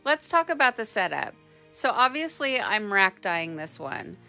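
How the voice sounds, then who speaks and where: A woman's voice: medium pitch, very animated, a little slow, vocal fry, sharp tone, soft background music. A woman giving a chatty, informal instructional voice-over as a craft tutorial host. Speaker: tie-dye tutorial host.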